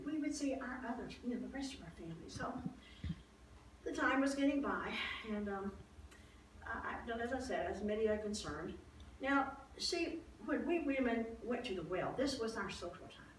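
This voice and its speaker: A woman portrays a wistful, aging wife of an ancient Judean priest in a live Bible dramatization. Her voice is fairly high, and she tells her story in a folksy, halting, emphatic way. The sound is very echoey and picked up from a distance, with faint noise. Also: natural voice. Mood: fond, reminiscing. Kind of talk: first-person dramatized Bible storytelling to an audience.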